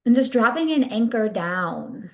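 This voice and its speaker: Slow-talking woman guiding meditation. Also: fairly high pitch, big pitch swings, low-quality recording. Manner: soothing, gentle, unhurried with long pauses. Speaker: meditation guide. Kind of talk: guided body scan meditation.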